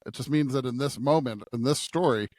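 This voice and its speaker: A man's voice: mid-range voice, a little fast, darkish tone, no room sound. A man co-hosting a podcast, casually discussing scripture and thinking aloud as he checks in with his partner. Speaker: podcast co-host.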